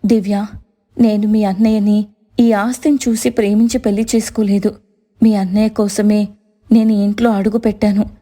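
A woman voicing a long-suffering, gentle young wife in a moral story, speaking in an earnest, measured way. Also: medium pitch, flat delivery, lightly acted. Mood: hurt, dignified. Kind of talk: dramatized moral story dialogue.

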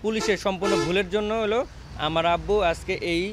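A man's voice: high voice, steady tone, fast talker, noisy background. A man interviewed for a news report, explaining plainly.

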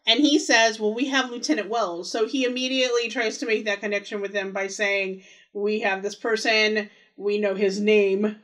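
A woman's voice: medium pitch, fairly even intonation, moderate pace, fairly bright tone. A woman co-hosting a podcast, chatting casually as she walks through details of a TV episode. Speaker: podcast co-host. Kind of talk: casual recap chat about a TV episode.

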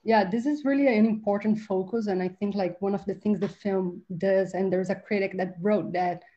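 A woman's voice: mid-range voice, fairly even intonation, dark tone. Earnest woman director on a video call. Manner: earnest, halting, restarts phrases. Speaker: film director. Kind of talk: online panel discussion. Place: home video call, virtual library background. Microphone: webcam mic on video call. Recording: slightly dull video call audio.

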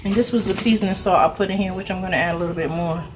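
A woman's voice: lowish voice, rapid-fire, noisy background. A middle-aged woman from New Jersey with a Jersey accent, chatting casually with viewers while cooking in her home kitchen, phone held over the stove. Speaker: home cook livestreaming. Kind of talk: casual cooking livestream chat.

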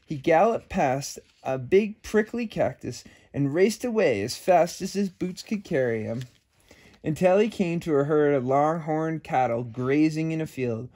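A man's voice: a little high, expressive, unhurried, darkish tone. A man hosting children's story time, reading a picture book expressively with casual asides.

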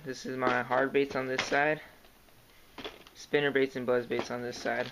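Soft-spoken young man with a gravelly voice. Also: mid-range voice. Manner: soft-spoken, hesitant, lots of fillers. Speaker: kayak angler showing his gear. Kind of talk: casual show-and-tell vlog. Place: indoors, carpeted room at home. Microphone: handheld camera close to the gear. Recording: noisy home camera recording.

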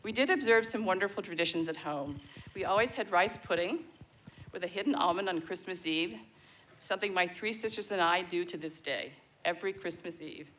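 An American woman in her sixties gives a measured, reflective personal speech at a podium microphone on an outdoor festival stage. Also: lowish voice, a bit bright, rough recording. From born in Denmark, raised in the United States. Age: 60s. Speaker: guest speaker at a celebration. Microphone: podium mic on a stand.

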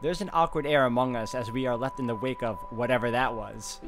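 Walkthrough host, dry and jokey, reads narration over loud game music. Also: male voice, mid-range voice, fairly even intonation, a little fast. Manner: dry, joking asides. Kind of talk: reading a visual novel aloud with commentary.

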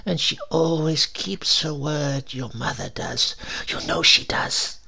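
A man voicing a grieving old widowed mother who softly croons a fervent vow of revenge over her son. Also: a little high, big pitch swings, slow, vocal fry, husky, voice pushed up, heavily acted. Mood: crooning vow.

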